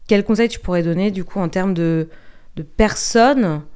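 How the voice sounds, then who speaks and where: A woman's voice: medium pitch, normal speed, a little mellow, smooth. A woman podcast host interviewing in a casual, informal way, feeling for her words and rephrasing questions.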